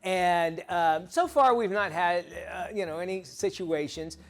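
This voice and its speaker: Animated middle-aged male talk show host. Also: high-pitched, unhurried. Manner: chatty, animated, laughs easily.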